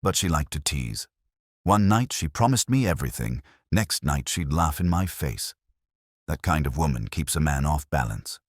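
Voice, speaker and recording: A man's voice: rumbling low, expressive, normal speed, husky, no room sound, clean studio sound, heavily acted. A man voicing a vain, boastful and defensive male actor in an audiobook detective story.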